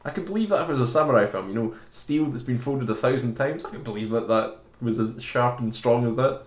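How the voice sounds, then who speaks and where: A man's voice: medium pitch, quick pace, reverberant. A man co-hosting a casual film commentary chat, joking and laughing often. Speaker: podcast co-host.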